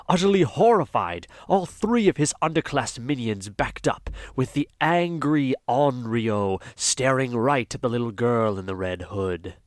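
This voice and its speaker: A man narrating an audio drama in a dramatic, scripted storytelling style. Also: mid-range voice.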